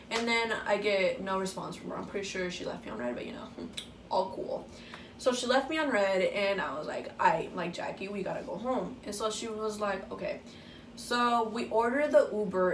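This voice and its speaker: A teenage girl chats through a story in a casual way, swearing often and acting out each line of dialogue. She sounds a little far from the mic in an echoey room, with a faint hiss behind her. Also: mid-range voice. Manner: casual, swears freely, acts out quoted dialogue. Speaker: vlogger.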